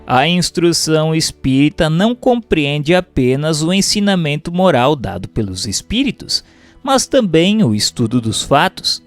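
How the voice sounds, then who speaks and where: A man with a Brazilian accent reads aloud as a scripted audiobook narrator, in a formal, measured, didactic manner. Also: on the high side, very animated, soft background music. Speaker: narrator reading a spiritist book aloud.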